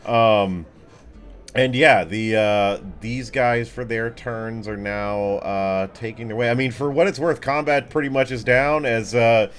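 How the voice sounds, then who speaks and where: A man's voice: mid-range voice, steady tone, a little slow, faint music behind. A man casually improvising narration as game master of a tabletop game, in a laid-back, colloquial way.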